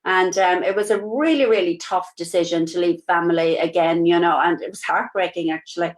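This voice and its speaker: A woman's voice: on the low side, fairly quick. A woman in her sixties with an Irish accent, telling her life story as a guest on a live video call, chatty and rambling with lots of "you know"s. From Ireland. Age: 60s. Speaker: interview guest, nurse. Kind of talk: casual life-story chat. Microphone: live-streamed video call.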